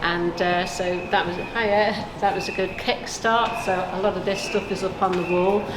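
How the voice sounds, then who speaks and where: A woman's voice: mid-range voice, fairly even intonation, moderate pace. A woman artist informally explaining her project in an interview, chatty, hesitant and rambling. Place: indoor gallery unit in a shopping centre. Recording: echoey room with clear background noise.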